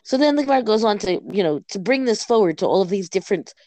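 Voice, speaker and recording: A hoarse woman who has lost her voice, teaching in a study session and carefully restating each term in plain words. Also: medium pitch, lively intonation, quick pace, warm tone, no room sound.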